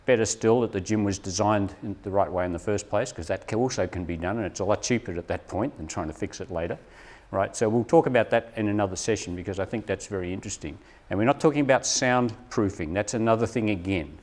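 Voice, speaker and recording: A man's voice: on the low side, fast, darkish tone. A man presenting a live workshop to teachers, explaining things and often checking in with "right?".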